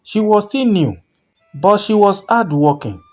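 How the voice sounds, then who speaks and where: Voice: medium pitch, very animated, a little slow, soft background music. A narrator telling a scripted folktale in a dramatic storytelling style.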